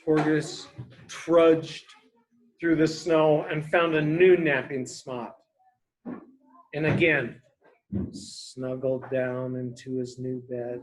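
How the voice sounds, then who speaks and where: A woman reading a picture book aloud to a child as a teacher, expressive, coaxing and explanatory. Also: deep voice, slow, long pauses, poor audio. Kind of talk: children's story read-aloud with questions.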